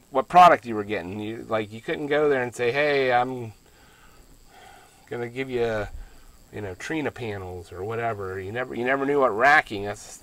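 Middle-aged male homesteader, casual outdoor phone vlog, plainspoken and self-correcting, average pitch. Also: a bit bright, rough recording. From Pennsylvania. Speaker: homestead solar vlogger. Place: outdoors by a ground-mounted solar array in a rural field.

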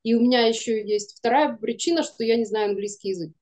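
A woman's voice: on the high side, fast talker, darkish tone. A woman in her forties chatting candidly and with self-deprecation as an interview guest on a video call. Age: 40s. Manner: candid, self-deprecating, laughs while talking. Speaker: guest, animation studio producer. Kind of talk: casual interview chat. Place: indoor room, video call.

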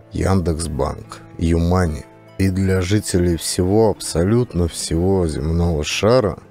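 Deep-voiced male audiobook narrator. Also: gravelly. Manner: earnest, warm direct appeal to listeners. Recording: faint background music.

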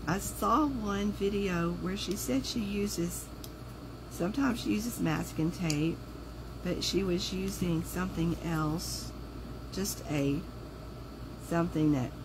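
A woman talks through a craft project in loose, chatty asides, her voice pulled low and rough by a sore throat. A steady hum runs underneath, as if the recording were made in a noisy room at her craft table. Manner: chatty, thinks aloud while working. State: sore throat, voice lower than usual. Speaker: craft video host. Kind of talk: casual crafting livestream chat. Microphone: overhead camera on the table, hands only in frame.

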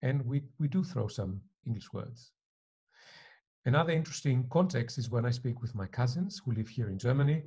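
A middle-aged man from Greece, a native Greek speaker, giving reflective interview answers as a professor with a slightly husky voice. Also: mid-range voice, animated, normal speed, mellow. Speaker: university professor being interviewed. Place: attic living room at home.

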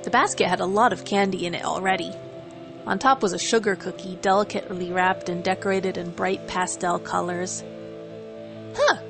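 A woman's voice: on the low side, fairly even intonation, fairly quick, creaky, over music. A woman narrating a story in a dramatic reading style.

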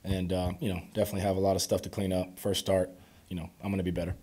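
A young man in his twenties answering reporters at a postgame press conference, calm and measured with many "you know"s, speaking into podium microphones. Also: low-pitched. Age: 20s. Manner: calm, measured, filler-heavy replies. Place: press conference room before a sponsor backdrop.

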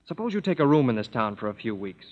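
A man's voice: medium pitch, big pitch swings, fast, a little hiss or hum, natural voice. An actor playing a friendly, earnest young male composer in a 1930s radio play, easygoing and polite. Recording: dull old radio broadcast with long hall reverb.

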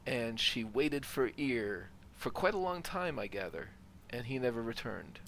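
Man, creaky mid-range voice, casually talking himself through a game over faint background ambience. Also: medium pitch, very animated, measured pace, fairly bright tone, a little hiss or hum, poor audio. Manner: thinking aloud. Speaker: gamer narrating own playthrough. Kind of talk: casual gameplay commentary.

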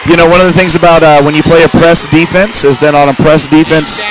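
A man with a loud voice giving halting, amateur live play-by-play of a basketball game. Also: a little high, little pitch movement. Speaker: play-by-play sports commentator. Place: large indoor school gymnasium during a game. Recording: noisy gym crowd and bouncing ball. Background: crowd noise, basketball bounces.

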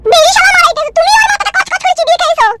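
A woman voicing a shrill, quarrelsome, demanding village wife in a comedy cartoon, scolding crudely. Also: very fast, very noisy. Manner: scolding, foul-mouthed.

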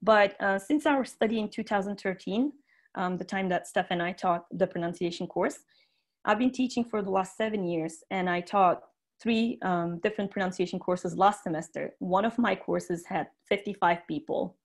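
A woman's voice: mid-range voice, moderate pace. Turkish-native woman presenting on a video call. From Turkey. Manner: earnest, explanatory, with frequent um fillers. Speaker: teacher trainer giving a conference plenary. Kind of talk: online conference presentation. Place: indoor room, webcam video call.

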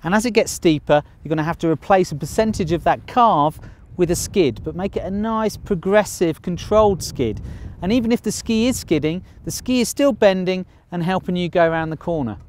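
A man's voice: high-pitched, animated, brisk, a little mellow, a little hiss or hum. A man in his thirties giving a ski lesson outdoors on a snowy slope, explaining in a plain, upbeat way with light jokes. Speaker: ski instructor.

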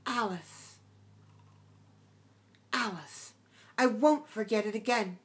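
A man reading aloud and voicing a puzzled, polite young girl in a soft, high voice. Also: takes it slowly. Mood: hopeful.

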